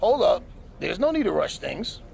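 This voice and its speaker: A man playing a sly, smug wrestling manager in a video game cutscene, with a gravelly voice and taunting delivery. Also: high voice, very animated, moderate pace, darkish tone, slight background noise, heavily acted. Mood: slick, mocking. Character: sly, smug male wrestling manager scheming against a champion.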